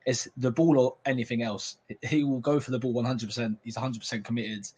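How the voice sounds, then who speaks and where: A man co-commentating on a live futsal stream in a relaxed, conversational way. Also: mid-range voice, husky. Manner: relaxed, conversational, a little halting.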